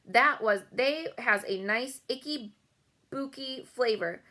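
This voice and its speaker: Storybook brute: female reader as a bellowing greedy giant, pitched up, bullying, mangled grammar. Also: slow pace, bright, forceful tone. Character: huge greedy man-eating giant, brutish and bullying.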